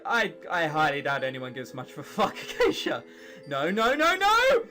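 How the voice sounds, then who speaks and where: A man's voice: fairly high pitch, wildly swooping pitch, normal speed, sharp tone. A British man in his late teens giving casual, sarcastic, sweary commentary while reading a visual novel aloud. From England or Britain. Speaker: gaming video host. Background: music.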